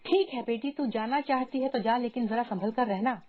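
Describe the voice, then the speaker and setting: A woman's voice: fairly high pitch, moderate pace, natural voice. A woman voicing a poor labourer father in a moral story, humble, protective and hesitant. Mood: worried. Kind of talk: moral story character dialogue. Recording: dull lo-fi audio, music behind.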